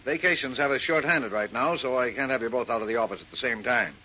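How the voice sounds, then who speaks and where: An actor playing a brisk, impatient, blunt newspaper editor in an old radio drama. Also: male voice, medium pitch, natural voice. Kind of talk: 1940s radio acting. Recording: muffled, hissy old radio recording.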